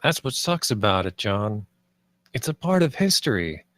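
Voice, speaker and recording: A man's voice: on the low side, very animated, normal speed, fairly bright tone, dry recording. A man reading the part of a wistful, idealistic theme-park designer at a screenplay table read, earnest and understated.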